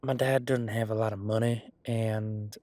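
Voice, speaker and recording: A man's voice: fairly low pitch, flat delivery, measured pace, a little mellow, dry recording, natural voice. A man voicing a folksy, earnest young head of security who talks hesitantly and rambles in an audio roleplay drama. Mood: sheepish.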